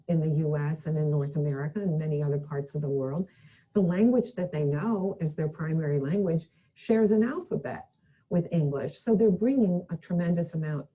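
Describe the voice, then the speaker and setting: Woman expert talking earnestly in an old dull-sounding video clip, low dark mellow voice. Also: breathy edge. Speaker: education expert. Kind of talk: recorded expert talk.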